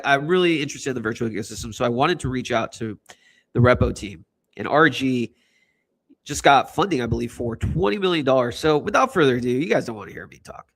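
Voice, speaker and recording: A man's voice: medium pitch, a bit bright. A man hosting a casual livestream, talking in a hyped, rambling, slangy way. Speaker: host.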